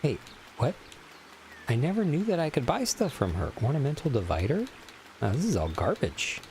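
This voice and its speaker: A man's voice: mid-range voice, wildly swooping pitch, normal speed, a little mellow, a little hiss or hum. A man in his forties chatting casually and crudely while streaming a video game, joking with his viewers in mock-serious banter. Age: 40s. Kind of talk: casual gaming stream chat.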